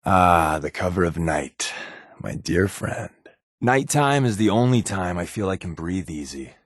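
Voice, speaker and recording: Wry undercover agent, dry close sound. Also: male voice, fairly low pitch, no room sound. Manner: wry, glib, conversational. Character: wisecracking, secretive male undercover agent, sly and guarded. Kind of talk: video game companion dialogue.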